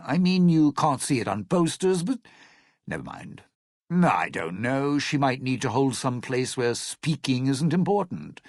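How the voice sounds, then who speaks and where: Cynical old lounge crooner, game character, raspy fry, unhurried slangy drawl, smooth-talking schemer, theatrical, self-regarding. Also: male voice, mid-range voice, dry recording, clean studio sound, heavily acted. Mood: boastful, skeptical. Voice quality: gravelly, husky. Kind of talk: video game character dialogue.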